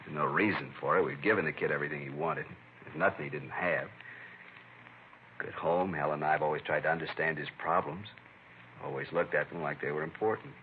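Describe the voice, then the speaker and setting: A helpless, distraught American father in a vintage radio drama. His voice is very low and his speech halting and troubled. The recording is muffled, with faint noise. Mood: despairing. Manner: halting, upset. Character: distraught middle-aged father, anguished and helpless. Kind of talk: 1950s radio drama acting.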